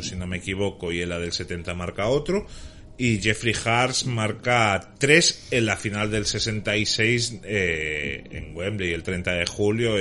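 Very deep-voiced man reeling off facts. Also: pushed and bright. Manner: thorough, reels off dates and scores. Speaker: guest playing contestant. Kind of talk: detailed football trivia answers.

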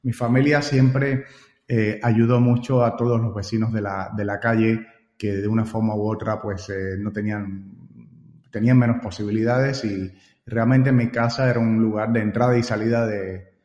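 A Cuban-accented man with a lowish voice answers interview questions and keeps repeating words as he thinks. The recording is dull and lo-fi, with a roomy echo and a long reverb tail. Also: fairly even intonation, moderate pace, a little mellow. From Cuba. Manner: repeats words while thinking, frequent "eh" fillers. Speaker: guest.

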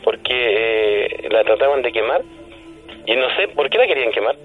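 A man's voice: mid-range voice, lively intonation, normal speed. A Chilean man as a radio guest, casually reasoning aloud and asking questions over the telephone. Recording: tinny phone line, music underneath.

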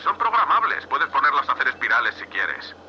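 A man with an accent from Spain playing a gruff, impatient, scornful spaceship captain in a sci-fi audio drama. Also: medium pitch, sing-song, brisk, muffled recording, a little hiss or hum. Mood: dismissive, irritated. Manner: curt, irritable, scornful. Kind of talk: Spanish-language sci-fi audio drama acting.

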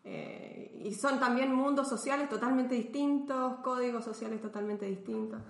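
Chatty Chilean woman, higher voice. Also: moderate pace. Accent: Chilean Spanish. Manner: animated, laughs often, thinking aloud with many fillers. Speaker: podcast guest, philosopher and artist. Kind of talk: casual interview chat. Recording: roomy echo.

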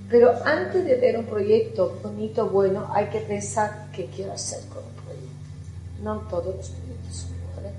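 A middle-aged woman with an Italian accent gives a conference talk, chatty and lively, often putting questions to the audience. Her voice sits a little high. It rings in an echoey hall with faint music underneath. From Italy. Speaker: architect giving a conference talk. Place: lecture hall.

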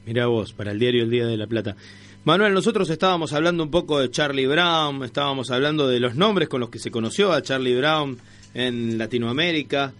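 A man with an Argentine accent and a mid-pitched voice hosts a radio interview. His delivery is conversational and somewhat halting, with words repeated as he moves toward his point. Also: a bit bright. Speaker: radio show host.

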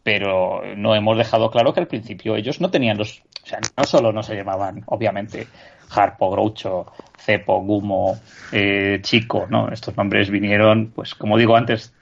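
A man's voice: lowish voice, fairly even intonation, normal speed, creaky, highs cut off, a little hiss or hum. A man from in a casual film discussion on a podcast, explaining at length and checking for agreement. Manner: talkative, explanatory, seeks agreement with tag questions. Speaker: podcast panelist.

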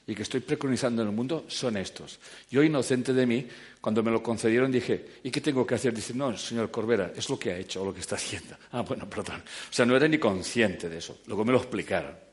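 Animated man lecturing an audience. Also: medium pitch, fairly quick. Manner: animated, joking, swings between loud and quiet. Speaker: speaker giving a talk.

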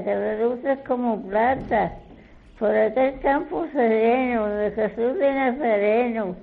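A woman playing a devout villager who recites an old rhymed folk prayer in a measured, incantatory way. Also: fairly high pitch. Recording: thin, phone-like narrow sound.

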